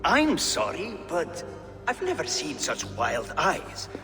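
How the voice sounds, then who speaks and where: A man's voice: a little high, huge pitch swings, takes it slowly, bright, forceful tone, exaggerated voice. An actor playing a boastful, eager male builder in a fantasy game, speaking in a pompous, insistent and formal way. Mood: ardent, uneasy. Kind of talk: video game cutscene acting. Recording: reverberant hall with music behind.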